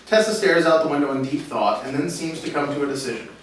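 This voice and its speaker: A man's voice: a little high, fast talker. Man narrating script, very echoey room. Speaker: narrator reading stage directions. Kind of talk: scripted narration at a table read. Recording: echoey room, far mic, faint noise.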